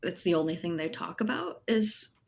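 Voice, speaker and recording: A woman's voice: lowish voice, sing-song, normal speed, vocal fry. A woman speaking casually as an interview guest, thinking aloud and checking in with a conversational "right?" as she explains. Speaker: guest, writer and editor. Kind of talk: casual podcast interview chat.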